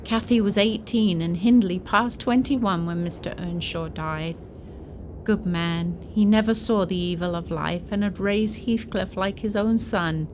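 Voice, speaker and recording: A woman's voice: mid-range voice, measured pace, darkish tone, a little hiss or hum, close to an everyday voice. A woman playing a reflective storyteller, narrating a scripted audio drama about a family's past.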